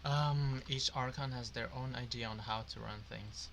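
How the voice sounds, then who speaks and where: A man giving casual, halting gameplay commentary, muttering to himself as he plays a story game. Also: mid-range voice, steady tone, gravelly, noisy background. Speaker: gamer playing through a story game.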